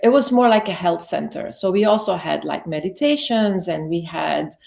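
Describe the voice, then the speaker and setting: A woman's voice: lowish voice, expressive, moderate pace, gravelly, a little mellow. A woman, telling her life story as an interview guest in a chatty way, often correcting herself. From Belgium. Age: 50s. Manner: chatty, self-correcting, many "like" fillers.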